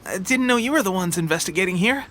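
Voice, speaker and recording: An actor playing an eager young resistance soldier in an anime game, friendly and putting on a brave face while unwell with a cold. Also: male voice, high-pitched, animated, fast, a bit bright, a little hiss or hum. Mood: casual, brushing it off. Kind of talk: English anime game voice acting. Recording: music behind.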